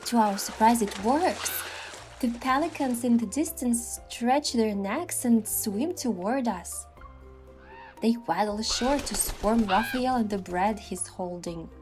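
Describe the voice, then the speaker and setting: A woman reading scripted first-person story narration in an expressive way, acting out the lines as a heroine. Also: a little high, takes it slowly, over music. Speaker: narrator voicing a game's heroine.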